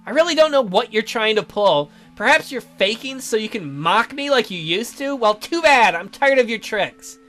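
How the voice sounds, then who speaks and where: A man's voice: high voice, animated, normal speed, hardly any pauses, fairly bright tone, pitched above the performer's own voice. A man voicing a bitter, sarcastic youth trapped in a child's body, reading visual novel dialogue with theatrical indignation. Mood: bitter, accusing. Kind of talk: visual novel character read-aloud.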